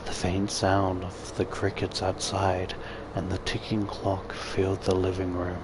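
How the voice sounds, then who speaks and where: A man's voice: sits low, monotone, unhurried, noisy background. A man narrating a first-person story dramatically and expressively, as if reading a visual novel aloud. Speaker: visual novel reader, YouTuber. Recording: loud background music. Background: loud music.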